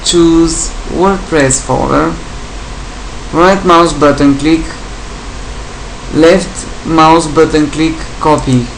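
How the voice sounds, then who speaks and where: A man from Bulgaria with a Bulgarian accent, English not his first language, giving a software tutorial in short, plain step-by-step instructions. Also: fairly high pitch, steady tone, very slow, creaky, long pauses, a little hiss or hum, rough recording. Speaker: tutorial presenter. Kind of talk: screen-recorded software tutorial.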